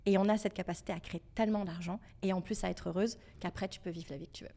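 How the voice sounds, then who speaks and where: A young woman from France with a French accent, talking as a podcast guest in a lively, emphatic, convinced way about money. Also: lowish voice, racing. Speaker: podcast guest, financial educator. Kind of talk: casual podcast conversation.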